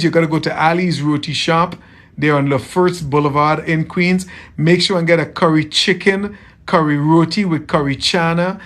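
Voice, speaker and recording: A man from Trinidad with a softened Trinidadian accent, chatting casually with viewers on a livestream as a tailor, friendly and often repeating himself. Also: fairly high pitch. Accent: Trinidadian, softened toward American. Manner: friendly, repeats phrases, greets viewers by name.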